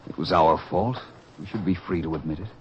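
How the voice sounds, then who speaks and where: Guy playing a troubled earnest disciple, very low voice, formal, muffled old radio drama. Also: slight background noise, close to an everyday voice. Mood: troubled, urgent. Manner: earnest, formal, deliberate phrasing. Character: Andrew, earnest male disciple, conscientious and troubled. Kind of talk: old-time religious radio drama acting. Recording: muffled radio recording with music.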